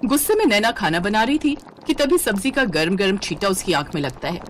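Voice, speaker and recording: A woman's voice: medium pitch, big pitch swings, a little fast, slight background noise. A woman narrating a scripted domestic story as a storyteller.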